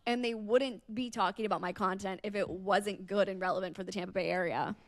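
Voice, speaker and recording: A woman's voice: mid-range voice, steady tone, fairly quick, dry recording, clean studio sound. A woman podcast co-host talking casually, with lots of conversational "like" asides. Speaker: radio personality and podcast co-host.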